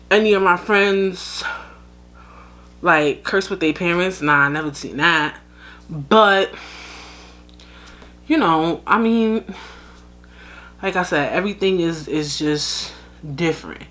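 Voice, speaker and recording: A woman's voice: on the low side, a bit bright. A young woman from the United States hosting a podcast, talking casually and frankly to her listeners, with plenty of fillers and asides. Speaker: podcast host. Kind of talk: casual solo commentary.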